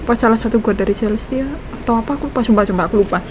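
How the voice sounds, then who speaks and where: A young Indonesian woman with an Indonesian accent, chatting excitedly while reacting to a game. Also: a little high, very fast, noisy background. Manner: excitable, chatty, laughs often. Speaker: gaming streamer. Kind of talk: casual let's-play reaction. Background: game music.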